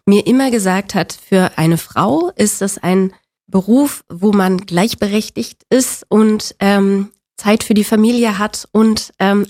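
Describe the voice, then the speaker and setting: A woman in her forties from Berlin, a guest talking openly and warmly, often with fillers and laughter. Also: medium pitch. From Berlin, Germany. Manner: open, friendly, laughs often, many fillers. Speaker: actress, guest. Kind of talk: casual interview chat.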